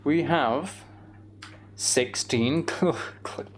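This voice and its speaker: A man's voice: fairly high pitch, extremely slow, a little hiss or hum. A British man giving casual video game commentary, thinking aloud as he plays. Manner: thinking aloud, mild swearing. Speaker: gamer narrating own play.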